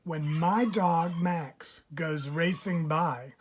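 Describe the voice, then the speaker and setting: A woman with a fairly low voice reads a children's rhyming storybook very slowly. Her phrasing is measured and expressive. There is clear background noise with turkeys gobbling behind her. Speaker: picture book reader. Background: turkeys gobbling and clicks.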